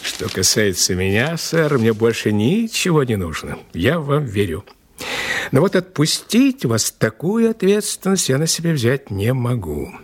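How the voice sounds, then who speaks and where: An actor playing a dutiful police detective inspector in a radio drama, with a husky voice and an official, polite manner. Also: male voice, medium pitch, big pitch swings. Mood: dutiful, cautious. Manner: official, measured, deferential. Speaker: actor in an audio play. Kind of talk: Russian radio drama acting.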